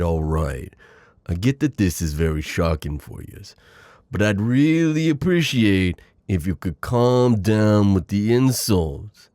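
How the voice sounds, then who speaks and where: A man's voice: lowish voice, darkish tone, no room sound, heavily acted. A man playing a chatty, exasperated mafia boss in an audio roleplay, courteous but foul-mouthed, with wisecracking gangster slang. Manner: wisecracking gangster slang, saying "yous" and "doll". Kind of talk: ASMR roleplay acting.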